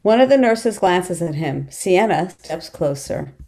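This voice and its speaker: Female narrator, fairly low voice, reading screenplay directions. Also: lively intonation, unhurried, dark tone. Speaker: stage directions reader. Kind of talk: scripted narration.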